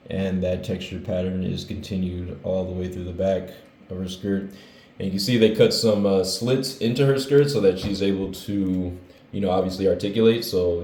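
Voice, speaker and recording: A man with a low, mellow voice that stays fairly level reviews a collectible figure in his room. He is a bit hoarse from a cold and rambles easily, filling gaps with ums and uhs. The room is roomy and echoey. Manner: relaxed, rambling, with many fillers. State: hoarse, getting over a cold. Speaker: toy reviewer. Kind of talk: casual toy review vlog. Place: his room with hardwood floor.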